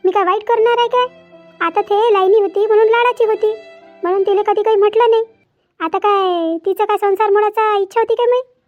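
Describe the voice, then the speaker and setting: Woman doing defensive cartoon mom, middle-aged, really really high voice, indignant, muffled lo-fi audio. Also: steady tone, brisk, soft background music. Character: defensive, doting middle-aged mother and wife. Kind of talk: amateur cartoon family drama.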